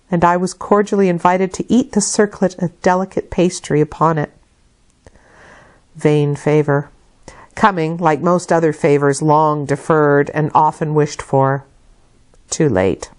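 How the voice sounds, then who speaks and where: Female audiobook reader, lower register, literary narration, expressive and measured.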